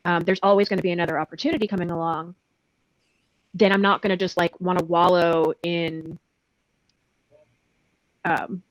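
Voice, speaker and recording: A woman's voice: on the low side, fairly even intonation, moderate pace, long pauses, no echo, poor audio. A woman chatting casually as a panelist among voice actors. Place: indoors on a video call.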